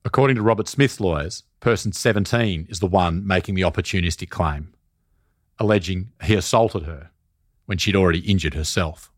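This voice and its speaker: A man narrating a scripted documentary podcast in a measured, serious way. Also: sits low, no room sound, studio quality.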